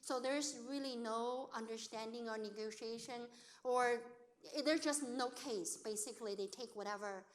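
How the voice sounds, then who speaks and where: A woman's voice: on the high side, moderate pace. A middle-aged woman whose first language is Chinese, telling personal stories in a talk to an audience, acting out what people said in simple, non-native English. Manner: lively storyteller who acts out quoted speech, in non-native grammar. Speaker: accountant and business owner speaking to an audience.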